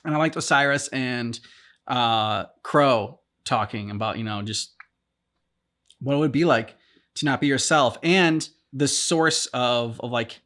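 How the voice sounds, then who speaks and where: A man co-hosting a game reaction show, chatting casually with halting, rambling sentences full of "like" and "um". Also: mid-range voice, fairly bright tone. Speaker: co-host of a game reaction channel.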